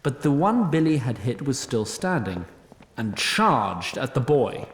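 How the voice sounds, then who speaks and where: A man narrating a scripted fantasy story in a wry, measured way. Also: medium pitch, big pitch swings, echoey room.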